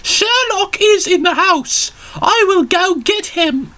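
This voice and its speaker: A man voicing a polite, obliging old lady in an exaggerated falsetto. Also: expressive, slow, sharp tone, full character voice.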